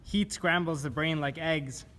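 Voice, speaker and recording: A man giving a scripted spoken-word reading of poetic survival instructions in a measured, deliberate manner. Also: fairly high pitch, pushed and bright. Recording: clear background noise.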